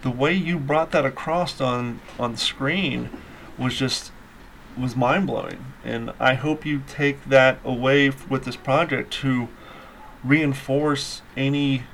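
A man hosting an interview, praising his guests at length and asking long, winding questions. His voice sits at a middle pitch. A light hiss of noise lies under the recording. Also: fairly even intonation, slow, gravelly, fairly bright tone. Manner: talkative, long rambling questions.

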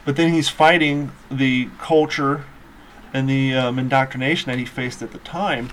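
A man hosting an interview, praising his guests at length and asking long, winding questions. His voice sits at a middle pitch. A light hiss of noise lies under the recording. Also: steady tone, normal speed, fairly bright tone. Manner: talkative, long rambling questions.

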